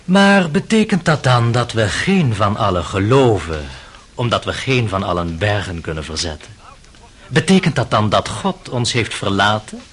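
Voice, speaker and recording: Radio play role: sly cynic, low-pitched and measured, sardonic arguer with lively inflection. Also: male voice, breathy edge, heavily acted. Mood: reasoning, sardonic. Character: sly, mocking man arguing cynically about faith. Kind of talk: radio drama acting.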